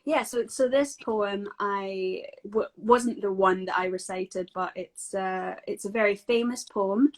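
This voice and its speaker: A woman with a Scottish accent talks casually as a guest from home. She speaks at an ordinary middle pitch, pausing often with fillers and restarting her sentences. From Scotland. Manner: chatty and hesitant, many fillers and restarts. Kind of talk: casual video-call interview.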